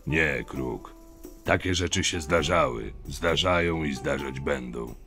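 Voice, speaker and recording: A man's voice: a bass voice, slow pace, over music, heavily acted. A man playing a stern, loyal, level-headed chief of camp guards in a fantasy audio drama, speaking firmly and matter-of-factly. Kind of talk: acted dialogue, fan audio drama.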